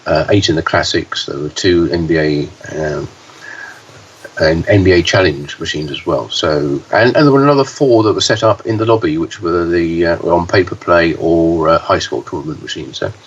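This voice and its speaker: Man, low voice, hoarse, halting with fillers, podcast co-host, distant and dull recording, faint hiss. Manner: halting, many fillers and restarts. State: hoarse, deeper voice than usual. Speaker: podcast co-host, pinball news reporter. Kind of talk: casual podcast chat.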